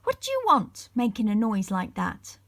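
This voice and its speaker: A woman voicing a tiny, cross, pixie-like shopkeeper man with a sharp, snappish manner in a bedtime story. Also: a little high, wildly swooping pitch, moderate pace, no room sound, exaggerated voice.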